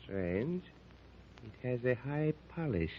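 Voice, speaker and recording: A cautious, musing companion. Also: male voice, mid-range voice, sing-song. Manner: deliberate, hesitant with musing fillers. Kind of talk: 1930s radio serial acting. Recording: dull old radio recording with faint hiss.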